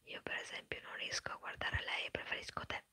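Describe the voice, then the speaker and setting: A woman whispering quickly and chattily in an ASMR live stream, answering viewers' comments. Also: sharp tone, no echo. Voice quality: breathy whisper. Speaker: ASMR creator.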